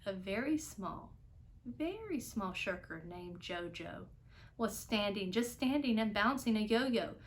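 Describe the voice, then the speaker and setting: A woman reading a children's storybook aloud in an expressive narrating style. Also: medium pitch, measured pace, a little hiss or hum. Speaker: storybook reader.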